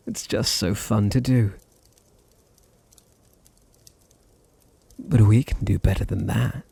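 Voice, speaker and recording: A British man playing a possessive, teasing vampire master in an intimate ASMR roleplay, with a deep, husky, gravelly voice and a condescending purr. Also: dry recording, heavily acted. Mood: teasing, tender. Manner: slow, purring, condescending, softly teasing.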